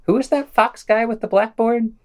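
A man's voice: very high voice, steady tone, normal speed, darkish tone. A man reading scripted podcast commentary as a host, with a sardonic, dismissive manner. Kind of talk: scripted review commentary, read aloud.

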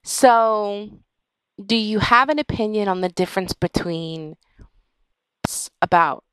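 A woman hosting a podcast conversation, speaking in a measured, halting, thoughtful way. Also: mid-range voice, fairly bright tone, clean tone, no room sound. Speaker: podcast host. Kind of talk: conversational interview with reflective remarks.

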